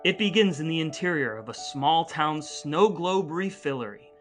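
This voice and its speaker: A man's voice: on the high side, lively intonation, normal speed, faint music behind. A man hosting a comedy podcast, bantering and reading aloud in an emphatic, mock-theatrical way. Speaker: podcast host.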